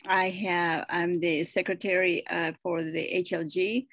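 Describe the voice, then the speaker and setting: A woman from Bolivia introducing herself on a video call, eager and quick. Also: lowish voice, level tone, fairly bright tone, no room sound. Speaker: group secretary.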